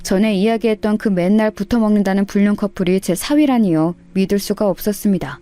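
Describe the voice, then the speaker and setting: A woman's voice: mid-range voice, brisk, mellow, soft background music. A woman narrator reading a scripted first-person story in the style of a radio tale.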